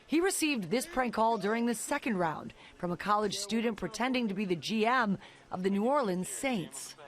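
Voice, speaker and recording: A woman's voice: medium pitch, animated, normal speed. A woman with an American accent narrating a scripted television news report as a correspondent.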